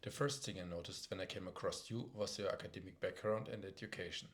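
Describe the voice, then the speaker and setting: A man's voice: low-pitched, fairly even intonation, fast talker. A young man hosting a student investment podcast, reading out prepared questions and introductions. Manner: reads prepared questions, brief backchannels. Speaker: podcast interviewer. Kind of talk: podcast interview.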